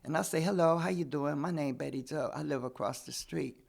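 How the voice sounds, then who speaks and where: Chatty seventy-something woman, gravelly voice. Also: low-pitched, brisk, darkish tone. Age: 70s. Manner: chatty, repeats what people said to her. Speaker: community volunteer, guest. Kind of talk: casual storytelling.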